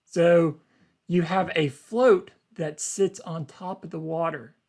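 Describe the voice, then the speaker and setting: A man, a teacher reading an article aloud to learners and explaining it in simple, deliberate phrasing. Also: fairly high pitch, fairly even intonation, creaky, darkish tone.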